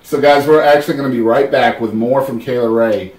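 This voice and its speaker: A man's voice: medium pitch, fairly even intonation, a little fast, darkish tone. A man with a Boston accent hosting an interview show, chatty and enthusiastic. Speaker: podcast host. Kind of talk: casual interview chat. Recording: roomy, from a distance.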